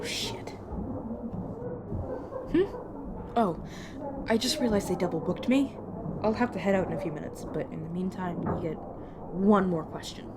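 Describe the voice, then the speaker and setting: A woman playing a brisk, casual captor explaining things wryly and patiently in an audio roleplay, talking straight to the listener. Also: medium pitch, noisy background, close to an everyday voice. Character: brisk, casual female magic-wielding captor and guide. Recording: loud sound effects and ambience behind.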